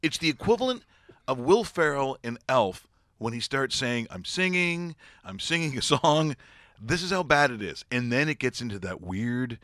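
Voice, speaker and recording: A man's voice: medium pitch, animated, moderate pace, a bit bright, no room sound. A man in his fifties hosting a show with casual comic commentary, mocking and incredulous, close on a studio microphone. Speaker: show host. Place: small studio. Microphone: close broadcast microphone, headset monitors.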